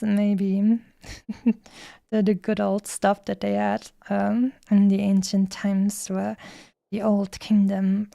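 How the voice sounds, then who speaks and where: A woman's voice: medium pitch, creaky, mellow, no echo. A woman livestreaming a game with casual commentary, musing and drifting into playful, rambling asides. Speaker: streamer playing a video game.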